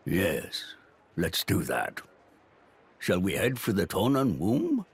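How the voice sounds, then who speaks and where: A big gruff old dragon uncle for a video game, gravelly, takes his time. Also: sits low, big pitch swings, slow pace, heavily acted. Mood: fond, weary. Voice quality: gravelly, husky. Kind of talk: video game cutscene acting.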